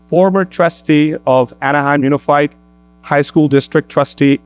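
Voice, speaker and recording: A man hosting a community talk show recorded at a mosque, speaking in an easygoing way with plenty of fillers. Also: on the high side. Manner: easygoing, warm introductions with fillers. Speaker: host.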